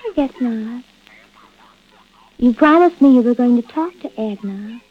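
High-voiced, impatient mistress. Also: female voice, expressive, measured pace. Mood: hurt, icy. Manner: pointed, reproachful. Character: impatient, wounded mistress of a married man. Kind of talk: 1940s radio drama acting. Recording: muffled old radio drama recording.

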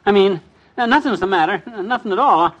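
A man's voice: high voice, expressive, fast, a bit bright, highs cut off. A man playing a grumbling, stammering, henpecked chubby American husband with folksy slang in an old-time radio comedy. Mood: flustered, evasive.

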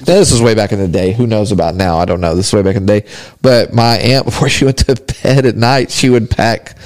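A man's voice: lowish voice, quick pace, a little mellow. A man co-hosting a casual podcast, telling laid-back family stories with easy laughs. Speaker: podcast co-host. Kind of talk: casual chat.

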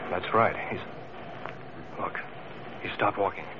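A muffled, noisy vintage radio recording carries a low-pitched masked crime fighter. He is watchful and commanding, in the manner of a 1940s adventure serial. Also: steady tone, slow. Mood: watchful, calm.

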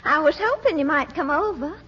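A woman's voice: high-pitched, expressive, fairly quick, fairly bright tone. A woman playing a flirtatious, sweet-talking lady who gushes coquettishly in a 1940s radio comedy. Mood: dreamy, inviting. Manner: gushing, coquettish. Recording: dull, noisy old radio recording.

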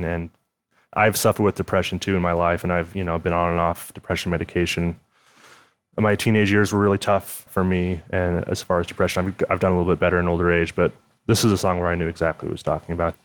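A man who plays guitar in a band, speaking candidly and earnestly in an interview. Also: deep voice. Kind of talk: interview answer.

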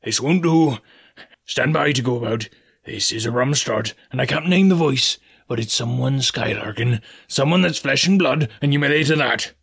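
Dude doing a rough bossy pirate boss, gruff salty talk, kinda loud. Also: male voice, mid-range voice, moderate pace, dry recording, clean studio sound. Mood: defiant. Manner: gruff, blustering, old sailor talk. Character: bold scheming male pirate leader.

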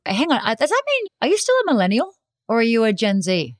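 A woman hosting an interview podcast, animated and teasing as she talks with her guest. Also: on the high side, fast talker, dry recording, studio quality. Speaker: podcast host.

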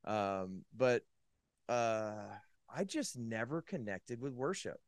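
A man's voice: medium pitch, very animated, slow pace, dry recording, studio quality. A man from California, a podcast guest, telling his faith story in a casual, rambling way with many "likes" and restarts. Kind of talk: casual personal testimony.

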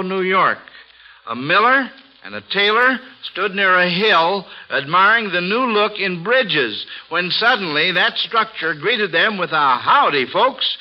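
A man hosting an old radio quiz show for kids in a genial voice that sits a little high. He often pauses on uhs between questions. The broadcast sounds dull and lo-fi. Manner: genial, hesitant with many uhs.

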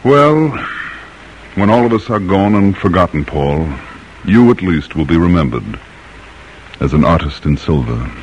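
An actor playing a grave, eloquent colonial-era doctor and patriot in an old radio drama, speaking in a measured, solemn way. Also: male voice, deep voice, animated, highs cut off, a little hiss or hum. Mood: wistful.

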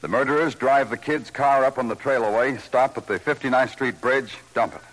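A man's voice: mid-range voice, moderate pace, fairly bright tone, no room sound, lightly acted, rough recording. Man playing a dry, clipped radio police detective. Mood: terse, intent. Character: terse, sardonic big-city police detective narrating his case. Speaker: lead actor and narrator. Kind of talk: 1950s radio crime drama acting. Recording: old radio recording with music.

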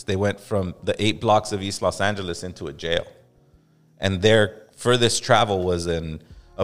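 A man hosting a casual podcast chat, laid-back and loose, calling his guest bro and swearing freely. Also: low-pitched. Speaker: podcast host. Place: podcast studio in Los Angeles.

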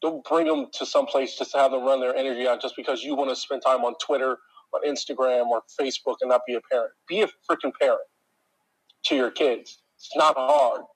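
A deep-voiced man telling a work story in an exasperated, ranting way, speaking directly to his listeners. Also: steady tone, fairly quick, slightly rough, poor audio. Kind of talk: casual chat.

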